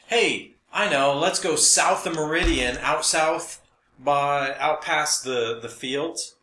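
A man's voice: on the high side, slow pace, pushed and bright. An American man co-hosting a podcast, chatting casually with wry, sarcastic exaggeration. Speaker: podcast co-host. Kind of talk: casual humorous chat. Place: echoey room, recorded for a podcast and live stream. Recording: echoey, roomy sound.

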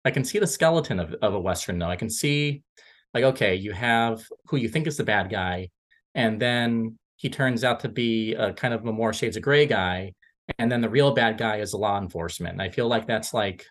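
A man co-hosting a film podcast, chatting casually in a halting, rambling way and often correcting himself. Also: lowish voice, a bit bright.

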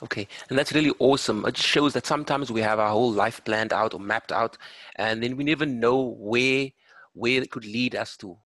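A man's voice: mid-range voice, fairly bright tone, no echo. Podcast host man, dry close sound. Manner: measured, encouraging, uses um fillers. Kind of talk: podcast interview hosting.

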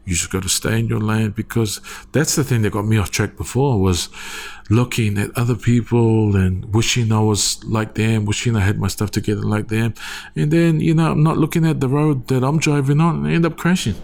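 A man's voice: lowish voice, a little fast, vocal fry, a little mellow. A man in his forties telling his story in a rambling, casual way, often asking "you know what I mean?". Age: 40s. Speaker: guest sharing his church story. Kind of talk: casual conversational testimony.

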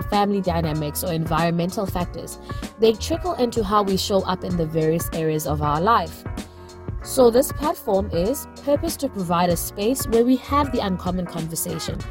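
A woman hosting a solo wellness podcast in an earnest, reflective way. Her voice is deeper than usual because she has just woken up, and she stretches words out for emphasis. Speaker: podcast host.

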